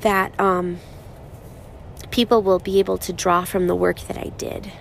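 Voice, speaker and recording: Raspy-voiced woman, softly grieving. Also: on the low side, level tone, slight background noise. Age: 30s. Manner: slow, soft-spoken, halting with pauses. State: raspy from crying. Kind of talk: personal reflective monologue.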